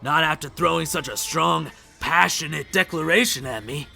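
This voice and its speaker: A man playing a bitter, grieving, middle-aged hard-drinking huntsman in a fan comic dub, with a gravelly, raspy voice and a mocking, menacing drawl. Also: fairly high pitch, moderate pace, bright, forceful tone, soft background music, heavily acted. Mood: sardonic.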